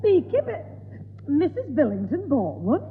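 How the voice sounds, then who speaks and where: A woman's voice: high voice, huge pitch swings, normal speed. A woman playing a stern, exasperated mother in a 1960s radio sitcom, scolding and emphatic. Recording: tinny, echoey, noisy old radio broadcast.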